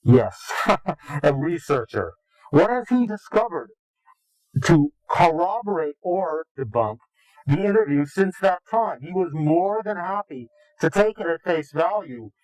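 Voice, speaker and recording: A man answering interview questions combatively, in a formal, deliberate and contemptuous way. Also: fairly high pitch, very animated, darkish tone, no room sound, poor audio. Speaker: interviewed anonymous informant.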